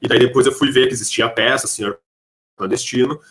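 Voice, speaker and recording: A man with a bright, clear-edged tone at an average pitch. He conducts a conversational interview, wandering through his questions as he builds them. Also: steady tone, fast, poor audio. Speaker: podcast interviewer.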